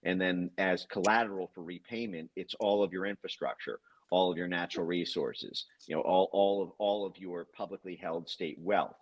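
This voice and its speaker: A man giving political commentary as a guest in a conversation, halting and long-winded, often repeating words as he goes. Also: deep voice, fairly even intonation, highs cut off.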